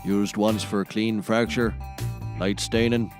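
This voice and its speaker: A man playing a deadpan, self-important auctioneer who hosts a small comedy talk show. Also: fairly low pitch, unhurried, music in the background, lightly acted. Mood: showman-like. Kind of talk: scripted comedy acting.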